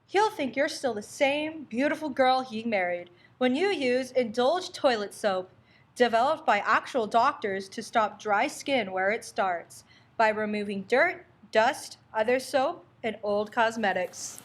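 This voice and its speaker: Woman playing a high-voiced advert friend. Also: lively intonation, unhurried. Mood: reassuring. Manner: earnest sales-pitch recitation. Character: reassuring, pitch-making friend in an old radio soap advert. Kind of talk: old radio advertisement acting.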